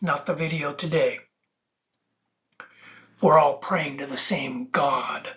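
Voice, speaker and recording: An earnest video host with a medium-pitched voice delivers a rambling spiritual monologue. The delivery is preachy and emphatic, pulling words apart into wordplay and addressing viewers directly. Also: slow, fairly bright tone.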